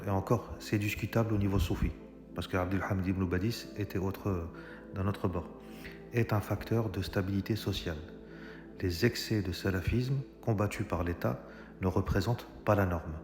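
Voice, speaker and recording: Male commentator, argumentative monologue, hesitant delivery, reverberant room. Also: lowish voice. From Algeria. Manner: argumentative, addresses viewers directly, frequent hesitations. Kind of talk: political monologue. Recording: echoey room with long reverb. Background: music.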